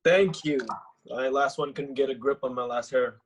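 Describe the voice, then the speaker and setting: A man playing a proud, easily nettled young actor in a comedy table read, husky and clipped. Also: medium pitch, steady tone, moderate pace, a little hiss or hum, lightly acted. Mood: pleased. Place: at home, remote table read.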